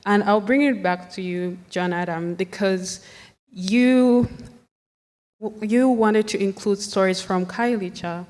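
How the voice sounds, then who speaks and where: A woman from Zambia speaking as a panelist, in a thoughtful, measured way. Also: medium pitch, steady tone, a little mellow. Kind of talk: conference panel talk. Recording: long hall reverb.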